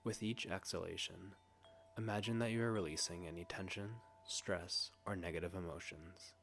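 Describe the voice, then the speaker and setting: Low-voiced man guiding a meditation. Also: steady tone, gravelly, soft background music. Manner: gentle, soothing, hushed delivery with long pauses. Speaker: meditation guide. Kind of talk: scripted guided meditation.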